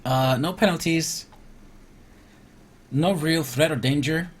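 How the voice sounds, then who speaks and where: A man from Iceland doing casual live gameplay commentary, reading game text aloud and thinking out loud as he mutters to himself. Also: a little high, takes it slowly. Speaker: video game streamer.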